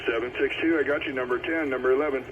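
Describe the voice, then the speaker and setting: A man's voice: mid-range voice, fairly even intonation, racing. A man hosting a radio roll call, calling out and confirming numbers in a procedural, repetitive way over CB radio. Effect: CB radio transmission. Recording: tinny, very noisy CB radio. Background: radio static and noise.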